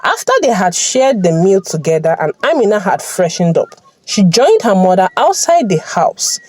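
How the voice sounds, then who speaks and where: A woman's voice: medium pitch, very animated, moderate pace, a little mellow, faint music behind. A woman storyteller reading a scripted folktale narration.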